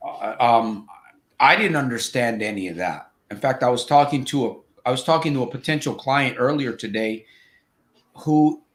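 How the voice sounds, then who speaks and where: Host type, fifties, Connecticut lilt, easygoing coach who talks things through. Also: male voice, mid-range voice. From Connecticut, USA. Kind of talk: casual interview chat.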